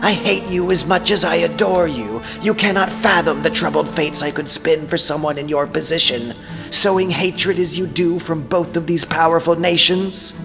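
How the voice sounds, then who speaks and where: A man voicing an imperious goddess disguised as an old crone, coaxing and menacing with slow, deliberate words in an epic audio drama. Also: on the high side, vocal fry, over music, voice pushed up. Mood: menacing, wrathful.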